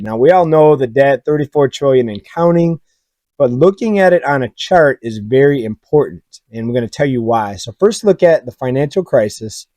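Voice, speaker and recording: A man with a hoarse, raspy voice giving casual commentary on economic charts and talking straight to his viewers. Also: mid-range voice, dark tone, no echo. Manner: conversational, asks viewers directly, many fillers. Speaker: finance YouTuber.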